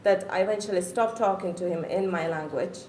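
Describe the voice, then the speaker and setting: A woman's voice: on the low side, brisk, a little mellow. A middle-aged woman from the Czech Republic with a Czech accent, a Czech native speaker, giving an earnest, reflective personal testimony as a parent and searching for her words. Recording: echoey room with faint noise.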